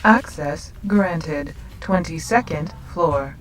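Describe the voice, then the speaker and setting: Woman voicing a slow, impersonal building computer. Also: sits low, far from the mic, faint music behind, poor audio. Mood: neutral, automated. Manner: formal, measured, impersonal. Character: polite, emotionless female building computer voice. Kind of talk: automated announcement in sci-fi audio drama.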